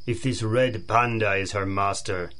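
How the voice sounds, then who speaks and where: An actor playing a big, solemn, honour-bound henchman in a radio drama, formal and measured. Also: on the low side, fairly even intonation, a bit bright. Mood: grave. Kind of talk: pulp radio drama acting.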